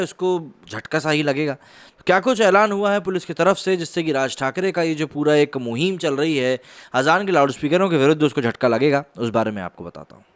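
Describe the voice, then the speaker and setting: Guy doing news commentary online, slightly high voice, explains stuff with chatty asides. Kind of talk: news commentary, partly read from reports.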